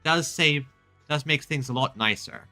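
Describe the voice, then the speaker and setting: A man's voice: medium pitch, a little slow, bright, forceful tone, faint music behind. A man commenting casually while playing a story game, rambling with little chuckling asides. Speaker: gamer playing a story quest. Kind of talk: casual let's-play commentary.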